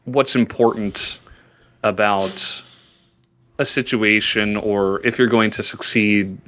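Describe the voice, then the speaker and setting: A man, an esports commentator, answering interview questions in a laid-back, hesitant way with many pauses and restarts. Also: fairly low pitch, fairly even intonation, a bit bright. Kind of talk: casual interview chat.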